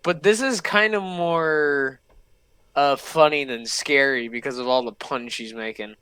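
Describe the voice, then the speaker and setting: A man's voice: mid-range voice, measured pace, fairly bright tone, clean tone, no room sound, low-quality recording. A man hosting a reaction video with casual, informal commentary.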